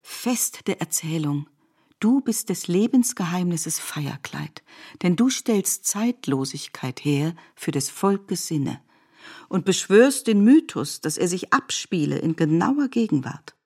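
A grave, knowing female storyteller, guides listeners into myth. The voice sits a little low with a distinctly husky grain, and the phrasing is deliberate and declamatory. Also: expressive, warm tone, heavily acted. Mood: solemn, invoking. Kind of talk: literary radio drama narration.

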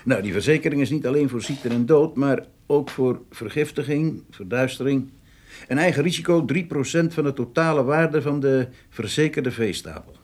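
An actor playing a shrewd, reassuring insurance agent in a radio drama, matter-of-fact and persuasive, pausing to think aloud. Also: male voice, mid-range voice, a little mellow, a little hiss or hum, natural voice. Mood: businesslike. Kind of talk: naturalistic radio drama acting.

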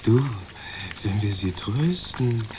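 Radio play casting: a scheming, mock-mournful gent, lower voice, noisy tape. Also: male voice, expressive, slow. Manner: mock-solemn, coaxing, sly. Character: sly gentleman plotting to poison dogs.

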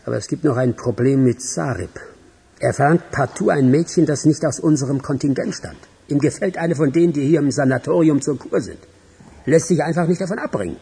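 A man's voice: a little high, fairly quick, gravelly, dark tone, breathy edge, full character voice. An actor in a radio crime drama playing a cold, businesslike criminal henchman who talks matter-of-factly. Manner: matter-of-fact, callous.

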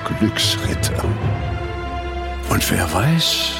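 A man reading a solemn scripted introduction as an announcer, in a dramatic, measured manner. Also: low-pitched, big pitch swings, gravelly. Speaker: show announcer. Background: loud music.

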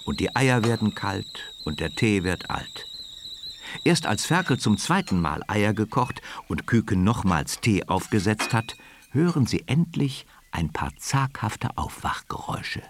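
Very animated low-voiced male storyteller. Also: a little slow, background noise. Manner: expressive storytelling. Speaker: narrator. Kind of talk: scripted children's story narration. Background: music.

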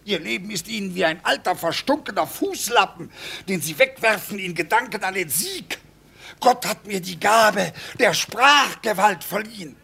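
A pompous army chaplain speaks in a fairly high, bright and forceful voice with a raspy edge. He holds forth in preachy, self-important rhetoric, in the manner of classic radio drama. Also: expressive, normal speed, exaggerated voice. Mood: indignant, boastful. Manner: rhetorical, declamatory. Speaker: actor in a radio play.

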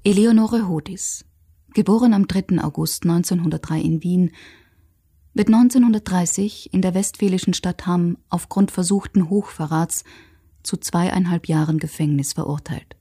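Mellow, lowish-voiced female documentary narrator. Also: moderate pace, breathy edge. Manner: factual, sober. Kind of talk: scripted narration.

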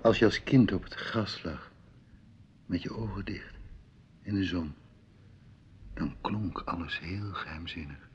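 A man's voice: sits low, a little slow, pauses often, breathy edge, full character voice. An actor playing a coaxing, poetic, self-assured male seducer, a musician intruding at night, in a radio drama. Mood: dreamy, seductive. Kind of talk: Dutch radio drama acting. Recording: dull lo-fi radio drama recording.

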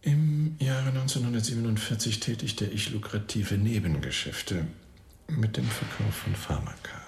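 An actor playing a suave, evasive middle-aged doctor in a radio drama, speaking formally and precisely in a gravelly voice that fades into a murmur. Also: male voice, lowish voice. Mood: smooth, candid. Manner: formal, precise, pompous wording with deliberate pauses. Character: suave evasive doctor confessing under police questioning. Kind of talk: radio drama acting, monologue testimony. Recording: roomy echo, faint background noise.

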